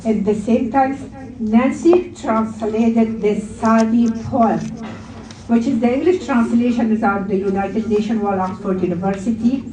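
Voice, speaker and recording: A woman from Afghanistan with an Afghan accent and Farsi as her first language gives a prepared public speech, halting in places, in slightly unidiomatic English. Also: fairly high pitch, steady tone, noisy background. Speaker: community liaison speaking at an event. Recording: roomy echo, distant from the mic.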